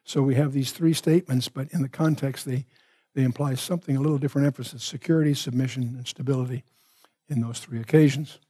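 An older man teaching the Bible, reading verses and explaining them in a measured way, restating his points as he goes. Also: mid-range voice, steady tone, dark tone, breathy edge, no room sound.

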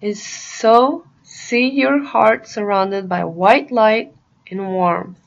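A woman narrating a guided meditation in a soothing, deliberate manner, pausing between short phrases. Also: medium pitch, vocal fry, muffled recording.

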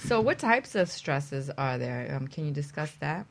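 A woman chats casually as part of a talk show panel. Her voice is husky and a little low, and her intonation swings very widely. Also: very animated, normal speed. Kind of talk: casual chat.

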